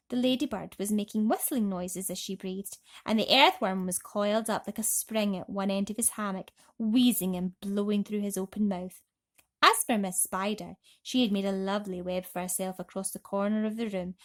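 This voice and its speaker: A woman narrating a bedtime story for children as an expressive storyteller. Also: mid-range voice.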